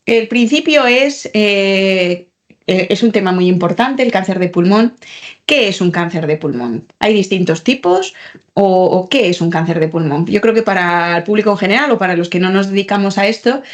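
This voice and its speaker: A woman's voice: mid-range voice, expressive, moderate pace. A woman hosting a medical podcast, chatty and friendly, rephrasing her questions as she goes. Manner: chatty, laughs often, asks and rephrases questions. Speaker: podcast host. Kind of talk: friendly interview.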